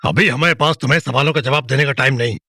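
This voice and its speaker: A man's voice: mid-range voice, fast talker, pushed and bright, no echo, clean studio sound. A man voicing a stern husband who gives brusque orders, in an audio drama. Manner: curt, commanding.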